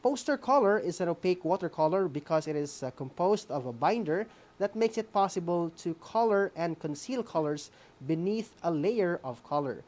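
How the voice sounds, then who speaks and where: A woman with a deep-set voice and a Visayan Filipino accent hosts a lesson on air. She alternates scripted reading with informal, code-switched asides and little tag questions. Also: expressive, normal speed, darkish tone. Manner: code-switching, frequent "no?" tags. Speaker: host of a radio art class.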